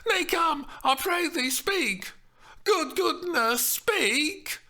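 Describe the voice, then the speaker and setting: A man's voice: very high voice, expressive, drawn-out words, bright, forceful tone, pitched above the performer's own voice, full character voice. A man voicing a young woman who reads Juliet's lines in eager panic, pleading and breathless.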